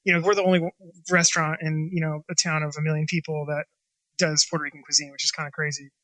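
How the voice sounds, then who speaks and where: A man with a bright, slightly high voice talks about his restaurant in a loose, rambling way. He leans on fillers and restarts and rarely finishes a thought cleanly. The sound is close and dry, with almost no room echo. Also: flat delivery, fast talker. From Washington State, USA. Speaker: chef and restaurant owner. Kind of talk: casual interview talk.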